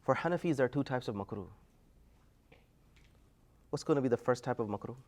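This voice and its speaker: A man's voice: mid-range voice, lively intonation, a little fast, darkish tone. A man teaching an Islamic studies class, lecturing casually and quizzing students, often pushing his voice up to prompt answers. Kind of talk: classroom lecture with call-and-response.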